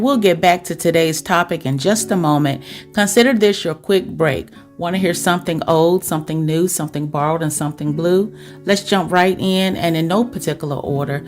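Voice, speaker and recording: A woman with a fairly low voice hosts a podcast monologue over clearly audible music. She addresses listeners like close girlfriends, chatty and confiding, tossing out questions as she goes. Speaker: podcast host.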